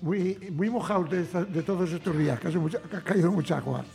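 A man's voice: sits high, fairly quick, vocal fry, warm tone, soft background music. A man joining a community radio show as a guest, speaking in a playful, announcer-like way. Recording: reverberant room, far from mic.

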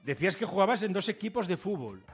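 A man hosting a casual radio interview, chatty and joking as he fires quick follow-up questions. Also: high-pitched, big pitch swings, slightly rough. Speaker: radio host.

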